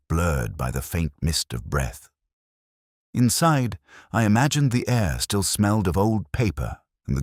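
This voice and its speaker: Male actor for melancholy older detective narrator: extremely deep voice, expressive intonation, measured and brooding, scripted crime drama. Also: no echo, clean studio sound, full character voice. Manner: measured, literary, solemn. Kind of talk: scripted first-person detective story narration.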